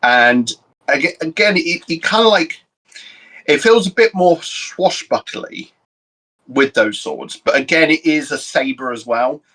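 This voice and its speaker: A young man co-hosting a casual chat, explaining his picks in a measured, thoughtful way. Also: on the high side, animated, pushed and bright, low-quality recording. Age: young adult. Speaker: co-host.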